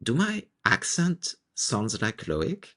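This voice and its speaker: A man from France with a strong French accent, co-hosting a podcast and telling stories in a relaxed, chatty way with lots of restarts. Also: medium pitch, takes it slowly. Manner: animated storytelling with frequent restarts and laughs. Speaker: podcast co-host. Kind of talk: casual podcast chat.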